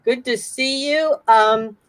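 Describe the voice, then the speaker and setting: A woman's voice: sits high, takes it slowly. An older woman hosting a casual live video chat, greeting viewers by name and asking the guest questions. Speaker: host.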